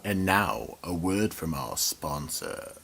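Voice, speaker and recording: A man narrating a radio drama in a slow, grave announcing manner. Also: deep voice, big pitch swings, vocal fry, full character voice.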